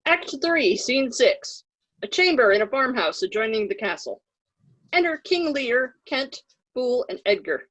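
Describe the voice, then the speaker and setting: Female announcer, high voice, animated pitch, reading scripted stage directions. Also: unhurried, highs cut off.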